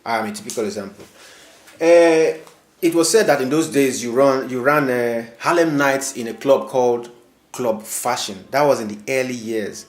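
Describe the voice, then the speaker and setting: A man's voice: mid-range voice, moderate pace. A man hosting an interview, asking questions in formal, flowery phrasing. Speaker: interviewer, host. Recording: echoey room.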